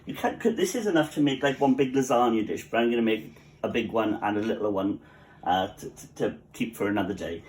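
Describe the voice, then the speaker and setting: A man's voice: on the low side, fairly quick, a little mellow, a little hiss or hum, low-quality recording. A man with a Welsh accent who runs a cafe, talking viewers through a cooking tutorial at his home kitchen in a chatty way, with joking asides. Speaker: cafe cook.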